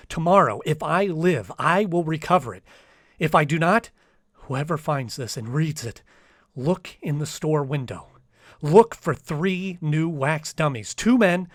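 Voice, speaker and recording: A man's voice: on the high side, no echo, clean studio sound, lightly acted. A man playing an anxious, sensitive young man in a radio drama, speaking in an earnest, faltering, dramatic way. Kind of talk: old-time radio drama acting with diary narration.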